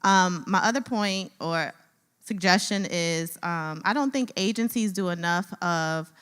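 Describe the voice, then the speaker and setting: Woman w a loud voice chatting at a conference, lots of ums, echoey hall. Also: fairly low pitch, a little slow, clear, resonant tone. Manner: conversational, full of fillers. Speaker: nonprofit staffer. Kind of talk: audience comment at a conference.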